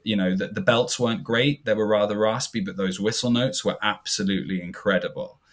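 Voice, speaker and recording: A man's voice: fairly low pitch, fairly even intonation, fairly quick. A man, co-hosting a podcast and explaining things in a chatty way with hesitant fillers. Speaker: podcast co-host. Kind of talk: casual podcast commentary.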